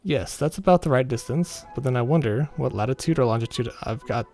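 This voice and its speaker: Curious young storybook girl played by a man, medium pitch, musing to self, background music. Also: brisk, mellow, natural voice. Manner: musing, talking to herself.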